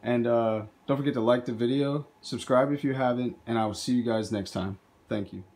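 A man's voice: mid-range voice, fairly even intonation, fairly quick, a little mellow. A man hosting a video, casually explaining his hobby robot project as he films indoors. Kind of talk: casual narrated project demo.

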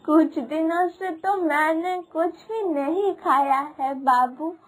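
A woman voicing a frail, needy old village woman in a cartoon, pleading and grateful in her manner. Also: very high-pitched. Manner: pleading, slow, plaintive. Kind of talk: Hindi cartoon moral story acting. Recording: music behind the voice.

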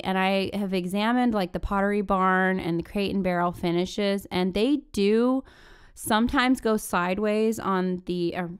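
Clear, smooth-voiced woman chatting casually. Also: mid-range voice. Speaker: DIY furniture-flip vlogger. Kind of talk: casual chatty tutorial voice-over.

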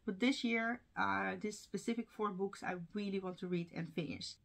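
A woman's voice: mid-range voice, normal speed, vocal fry. A young woman whose first language is Russian, talking casually to camera from home about her reading plans, with fillers and small laughs. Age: young adult. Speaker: book vlogger. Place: home room with bookshelves. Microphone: camera framing, seated at desk.

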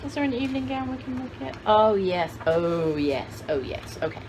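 A woman in her sixties talks in a casual, chatty way, as if thinking aloud to her viewers. Her voice sits at an average female pitch. It is recorded in a room at home, with noticeable noise behind her. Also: lively intonation, normal speed, a little mellow. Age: 60s. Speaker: vlogger showing vintage paper dolls. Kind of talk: casual chat to camera. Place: indoor room at home with cluttered shelves. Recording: clearly noisy home video sound.

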